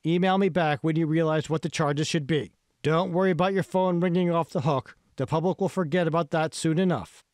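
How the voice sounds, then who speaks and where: A man narrator plays a vindictive male tech billionaire in an audiobook, insistent and pointed, pressing with rhetorical emphasis. The pitch is pushed up, and the sound is dry. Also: fairly even intonation, brisk, few pauses, darkish tone, no room sound, lightly acted. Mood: needling, smug.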